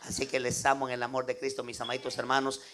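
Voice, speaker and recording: A man's voice: mid-range voice, monotone, fast talker, bright, forceful tone. A man with a Guatemalan accent preaching an impromptu, exhortative sermon to a congregation, peppered with devotional interjections and tag questions. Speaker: evangelical preacher.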